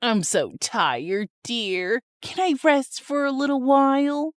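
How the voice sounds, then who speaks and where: A woman playing a weary, polite elderly lady in an animated story, talking in a tired, slow manner. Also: sits high, smooth, dry recording, clean studio sound, heavily acted.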